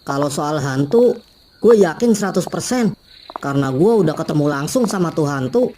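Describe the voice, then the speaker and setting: A man voicing a curious young student, mellow. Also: a little high, expressive, normal speed, a little hiss or hum, lightly acted. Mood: explaining. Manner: casual slangy talk. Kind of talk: cartoon voice acting.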